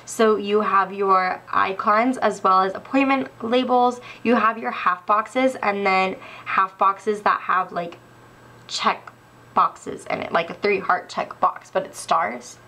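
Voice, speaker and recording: Gushing stuffy-nosed young woman, slow. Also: mid-range voice, poor audio. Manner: gushing, excitable, laughs often. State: a little stuffy. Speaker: planner sticker vlogger. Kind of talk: casual haul chat. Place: indoors at a wooden table.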